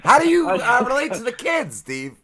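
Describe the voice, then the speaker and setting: A man's voice: extremely high pitch, huge pitch swings, a crowd in the background. A man in his forties co-hosting a podcast, joking and rambling in casual chat. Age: 40s. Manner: joking, rambling, quick to laugh. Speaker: podcast co-host.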